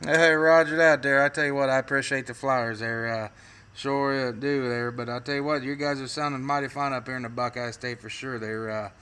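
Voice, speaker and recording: An American man working a CB radio, talking in a folksy way full of radio jargon as he makes on-air contacts. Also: medium pitch. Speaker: CB radio operator. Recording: loud radio static and noise. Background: radio static and noise.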